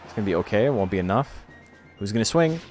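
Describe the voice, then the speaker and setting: A man with a low, deep voice casually commentating a monster-battling game on a stream. He talks in a relaxed, wry way, tossing off jokes and teasing his chat. Faint music plays quietly in the background. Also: quick pace.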